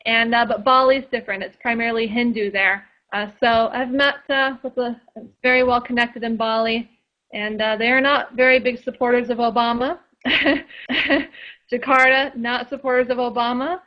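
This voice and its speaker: A woman's voice: on the high side, fairly even intonation, normal speed. A woman guest in a casual radio interview, chatty and full of fillers and restarts. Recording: dull lo-fi sound.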